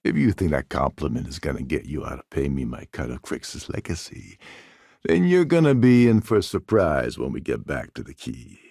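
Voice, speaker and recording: A man playing a gruff, sardonic space pirate con man in a video game, with a gravelly, husky voice and a wry, scheming manner. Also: a bass voice, sing-song, normal speed, darkish tone, dry recording, clean studio sound, full character voice. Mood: irritated, sarcastic. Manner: wry, sarcastic, world-weary.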